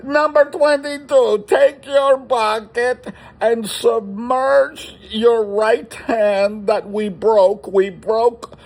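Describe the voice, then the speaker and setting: A man voicing a flustered, exasperated captor who gives halting, pleading orders. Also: very high voice, fairly even intonation, exaggerated voice, low-quality recording. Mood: flustered, menacing. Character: flustered male captor with an accent, exasperated, squeamish.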